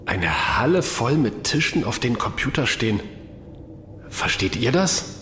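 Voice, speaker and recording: A man playing a brisk, practical explorer in a German science-fiction audio drama, urging his companions along. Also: medium pitch, big pitch swings, a bit bright. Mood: puzzled. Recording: faint background noise.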